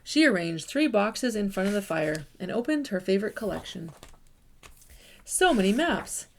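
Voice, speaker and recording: Expressive woman reading a picture book aloud. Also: medium pitch, moderate pace, creaky, a little hiss or hum. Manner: warm, expressive storytelling with lively emphasis. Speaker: children's story reader.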